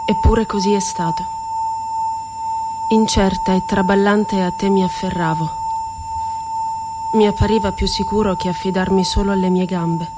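An actress performs a woman poet, wounded and ironic, looking back on confinement. She speaks in a theatrical radio-play monologue at a middle pitch. Her delivery is deliberate and poetic, lingering on words and posing rhetorical questions. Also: big pitch swings, heavy background noise, lightly acted. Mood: wistful, solemn.

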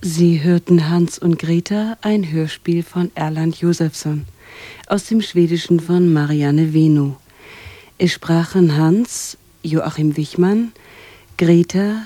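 A female radio announcer reads credits formally. She speaks slowly in a slightly low, mellow voice, close and dry. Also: no room sound.

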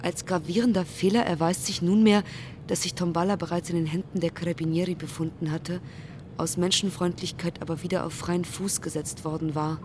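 A woman's voice: lowish voice, fairly even intonation, fairly quick, noisy background, close to an everyday voice. A woman acting as a composed, formal, matter-of-fact news reporter in a German radio drama.

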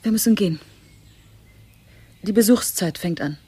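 An actress playing a composed, domineering woman in a German radio play, emphatic and deliberate. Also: mid-range voice, noisy background, natural voice. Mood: brisk.

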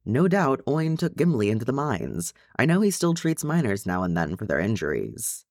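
A man's voice: mid-range voice, sing-song, normal speed, darkish tone, dry recording, clean studio sound. A man voicing an easygoing young dwarf companion in an audiobook story, explaining things in a familiar way. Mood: matter-of-fact.

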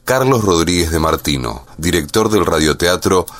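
A man's voice: low voice, lively intonation, normal speed, slight background noise. A man working as a radio announcer, reading scripted programme credits. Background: loud guitar music.

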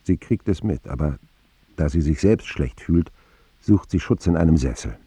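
A man's voice: a bass voice, lively intonation, moderate pace, dark tone, slightly rough, no room sound, exaggerated voice. An actor playing a wry, reflective man who narrates his boyhood in an intimate radio drama style. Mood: wry, watchful.

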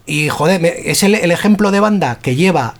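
Male radio host, high voice, halting and hesitant, casual talk. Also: lively intonation. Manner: hesitant, many fillers and restarts.

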